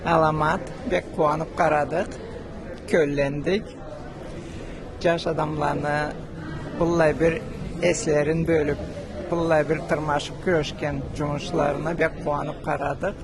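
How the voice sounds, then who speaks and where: A man giving a slow, halting answer in an interview, pausing to search for words. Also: a little high, a crowd in the background. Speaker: viewer interviewed after screening. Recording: steady background noise.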